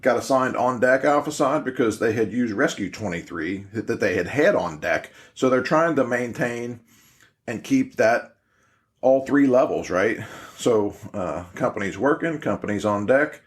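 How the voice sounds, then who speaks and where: A man's voice: medium pitch, lively intonation, moderate pace. A man explaining and reviewing in an informal way, like an instructor, often checking in with "right?". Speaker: podcast host and incident command instructor. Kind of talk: casual spoken analysis of fireground radio traffic.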